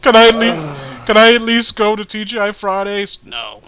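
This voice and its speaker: A man's voice: high-pitched, expressive, normal speed, a crowd in the background, rough recording. A man giving casual comedic commentary as he reads aloud, sweary, exasperated and rambling. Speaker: co-host of a comedic reading show.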